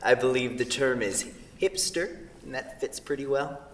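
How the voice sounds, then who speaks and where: An echoey, distant stage recording carries an actor as an earnest young man in a comedy. He speaks haltingly, with dry wit and a storyteller's passion. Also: mid-range voice, fairly bright tone, slight background noise, natural voice. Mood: wry, earnest. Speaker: actor in a staged play reading. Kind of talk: staged reading of a comedic two-person play. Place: theatre stage, picked up from a distance. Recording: echoey theatre hall, distant microphone.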